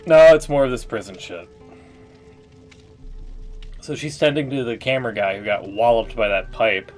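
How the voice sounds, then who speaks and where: A man's voice: mid-range voice, normal speed, a little mellow, soft background music. A man streaming a game, making chatty, joking asides about the story. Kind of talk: casual live-stream commentary.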